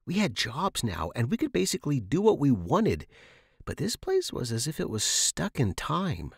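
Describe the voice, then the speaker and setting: A man's voice: medium pitch, huge pitch swings, moderate pace, gravelly, husky, dry recording, studio quality. A man reading a scripted first-person story as a fiction podcast narrator.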